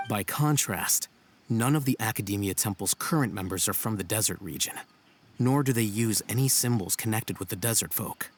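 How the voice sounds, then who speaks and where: An actor playing a composed, aloof scholar in a video game, speaking in a measured, formal and dryly analytical way. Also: male voice, on the low side, a little hiss or hum, natural voice. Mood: explanatory, dry. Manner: measured, dry, formal, matter-of-fact. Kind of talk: video game voice acting, expository dialogue. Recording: music behind the voice. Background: game music.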